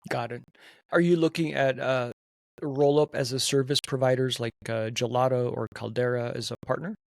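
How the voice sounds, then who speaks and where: A man's voice: mid-range voice, fairly even intonation, moderate pace, creaky, no room sound, clean studio sound. A man hosting a podcast, asking questions and often restarting his phrases. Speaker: podcast host. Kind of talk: casual podcast interview.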